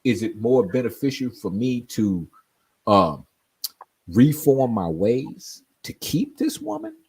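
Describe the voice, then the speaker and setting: A man's voice: medium pitch, very animated, takes it slowly, darkish tone, husky. A man in his forties telling stories in casual chat, often asking "you know what I mean?". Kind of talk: casual chat on a live stream.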